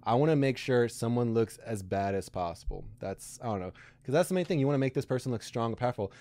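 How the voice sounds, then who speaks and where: A young man from Alaska, a guest comedian, chatting casually on a podcast in a small studio, talking in halting, rambling stretches and laughing often. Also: medium pitch, expressive, mellow. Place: small studio with brick backdrop. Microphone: desk mic on boom arm.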